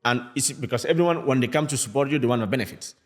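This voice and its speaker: A young man from Mali speaking English as a second language, a music artist chatting as a podcast guest, animated and acting out the people he quotes. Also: mid-range voice. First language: a Malian language. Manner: fast, animated talk in non-native English, acts out quoted people. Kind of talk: casual podcast chat.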